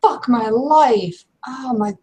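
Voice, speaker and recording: A woman playing an awkward, evasive young werewolf in a tabletop roleplay, with halting, slangy, stammering speech. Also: a little high, warm tone, voice pushed up. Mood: exasperated, confused.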